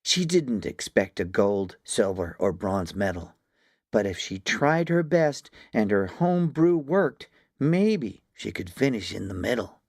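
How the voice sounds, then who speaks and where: A man narrating a children's story from a script, with expressive, deliberate phrasing. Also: on the low side, gravelly, no room sound, studio quality. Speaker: audiobook narrator.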